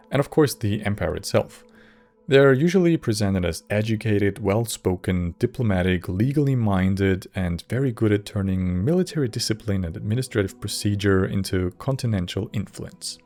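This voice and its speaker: A man giving scripted narration for a game lore video in a measured, slightly wry way. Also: lowish voice, a little mellow.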